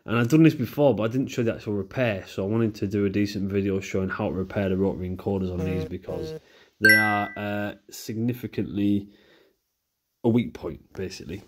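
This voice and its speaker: Low-voiced man, nasal from a cold. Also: brisk, background noise. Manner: chatty, relaxed, talks while working with hands. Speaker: repair video host. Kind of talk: casual repair walkthrough.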